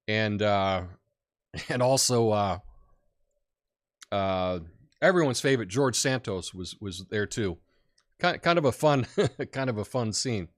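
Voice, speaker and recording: Booming, slow-talking opinionated male host. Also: fairly bright tone. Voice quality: big booming voice. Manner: opinionated, rambling with many fillers. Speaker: podcast host. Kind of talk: casual political commentary.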